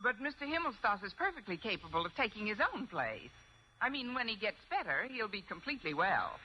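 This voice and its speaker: Wry schoolteacher, lively old-radio wisecracker. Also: female voice, mid-range voice, a little fast. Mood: protesting, wry. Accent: American. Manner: dry, sarcastic wisecracking. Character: wry, quick-witted female high school English teacher. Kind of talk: 1950s American radio sitcom acting. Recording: dull old radio recording, clear noise.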